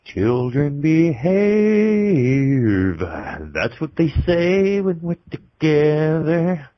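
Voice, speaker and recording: Very slow, lilting man on dull phone line. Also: fairly high pitch, very animated, dry recording.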